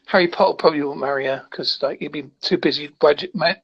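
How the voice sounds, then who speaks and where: A man from the north of Scotland, a podcast guest making deadpan jokes in casual chat. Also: a little high, fast, creaky, husky, no echo, dull audio. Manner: jokey, deadpan tall tales.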